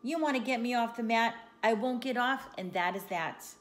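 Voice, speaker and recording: A woman's voice: fairly high pitch, normal speed, close to an everyday voice. A fat, lazy storybook cat, acted out by a woman reader, smug and dismissive. It sounds stubborn and utterly unwilling to budge.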